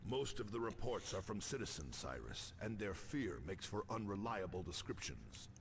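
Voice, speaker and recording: An actor playing an authoritative, grave space marine captain who speaks formally in a video game transmission. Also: male voice, on the low side, sing-song, moderate pace. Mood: measured, serious. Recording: game audio with faint noise.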